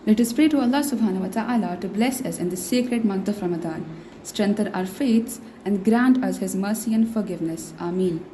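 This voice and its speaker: A woman's voice: mid-range voice, fairly quick, dark tone. A teenage girl reads out announcements as a student presenter at a school assembly. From India. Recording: faint background noise, school intercom assembly.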